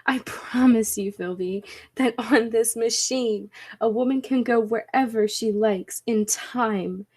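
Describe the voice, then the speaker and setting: Casting: actress as confident eccentric woman professor, 1940s radio drama. Highish voice, unhurried, assured declamation. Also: a little mellow. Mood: proud, earnest. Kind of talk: 1940s radio play acting.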